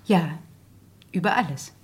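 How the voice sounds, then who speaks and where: An actress playing a restrained, wounded woman in a German radio crime drama, with a husky voice and terse, frank delivery. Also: fairly low pitch, expressive, unhurried. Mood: resigned, frank. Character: restrained, wounded married woman, frank about her faithless lover.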